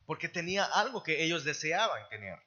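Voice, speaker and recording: A man's voice: on the high side, big pitch swings, brisk, sharp tone, lo-fi sound. A man interpreting a sermon live, phrase by phrase, with occasional restarts. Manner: translating phrase by phrase, with some restarts. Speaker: church interpreter. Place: church service.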